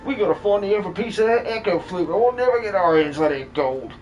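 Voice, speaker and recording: A man voicing a boastful but cowardly muscle-bound miner with rough, cheery working-class speech in a video game fan dub. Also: high voice, expressive, fast talker, lo-fi sound, faint music behind. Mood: gruff, wary.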